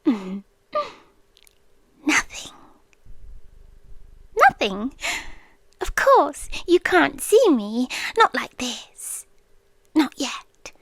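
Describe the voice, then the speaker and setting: A British woman playing an obsessive, teasing yandere girlfriend in a whispered, breathy ASMR roleplay with long pauses. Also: high-pitched, big pitch swings, fairly bright tone, no room sound, exaggerated voice. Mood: eerie, teasing.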